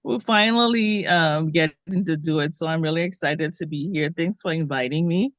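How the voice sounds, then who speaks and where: A woman from Liberia, a guest author in an interview, speaking thoughtfully with many pauses. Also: low voice, dry recording, highs cut off. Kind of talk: conversational interview.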